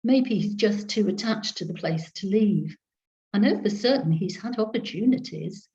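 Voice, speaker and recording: A woman's voice: mid-range voice, animated, normal speed, dark tone, close to an everyday voice. Woman storyteller as a teasing psychic woman, lifted voice. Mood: bright, keen. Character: confident, teasing woman psychic with dry wit. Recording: dull lo-fi video call audio.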